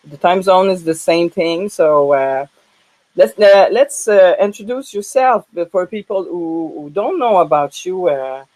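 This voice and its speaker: A woman from Canada with a French-Canadian accent hosting a casual live interview in a halting way, often repeating words. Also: on the low side, expressive, darkish tone, rough recording. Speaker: live show host.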